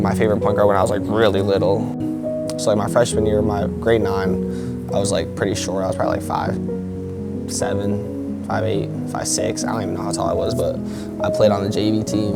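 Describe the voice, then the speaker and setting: A young man from Canada, whose first language was Korean, talking casually in a documentary interview with many fillers. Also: creaky. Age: young adult. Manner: low-key, many "like" fillers. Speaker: college basketball player. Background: guitar music.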